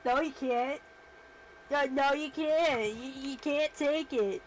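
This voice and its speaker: Slow-talking, chatty woman streamer. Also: high voice, a little hiss or hum, poor audio. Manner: chatty, rambling, self-deprecating. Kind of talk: casual livestream chat while working.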